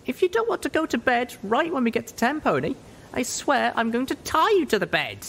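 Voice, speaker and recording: A man's voice: very high voice, sing-song, a little fast, gravelly, fairly bright tone, voice pushed up, exaggerated voice. A man voicing an exasperated young female pony in a story, nagging a friend. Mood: threatening fondly. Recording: roomy echo, audible noise.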